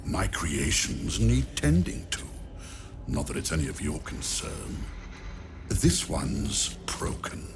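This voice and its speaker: A man's voice: extremely low pitch, wildly swooping pitch, creaky, background noise, full character voice. An actor playing an arrogant, cold male necromancer in a video game cutscene, speaking with haughty disdain. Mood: gloating. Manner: haughty, disdainful, slow and deliberate.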